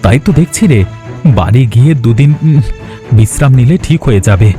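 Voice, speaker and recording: A man voicing a worried cartoon husband searching for his missing wife, earnest and mellow. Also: mid-range voice, expressive, brisk, faint music behind, natural voice.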